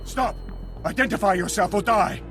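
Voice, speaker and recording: An actor playing an earnest, rattled military spy in a video game, clipped and deferential in delivery. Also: male voice, high-pitched, normal speed, fairly bright tone, soft background music. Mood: alarmed, shouting. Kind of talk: video game cutscene acting.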